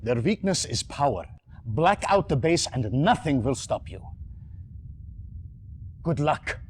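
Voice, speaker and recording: A man's voice: mid-range voice, very animated, slow. Stern male military aide, clipped and serious delivery for a game cutscene, slightly noisy old recording. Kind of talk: dramatic video game cutscene acting.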